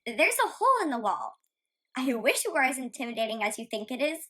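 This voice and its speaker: A woman's voice: high voice, very animated, fairly quick, a bit bright, exaggerated voice. A woman playing a fearless, sassy young female kitten in a radio play, blunt and flippant. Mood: unimpressed.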